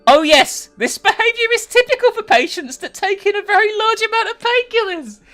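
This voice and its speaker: An absurdly reassuring doctor played by a woman, jolly and exaggerated. The pitch shoots very high and swoops about, bright and forceful, as in a comic story reading. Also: expressive, fairly quick, soft background music. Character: breezy, oblivious male doctor, absurdly reassuring.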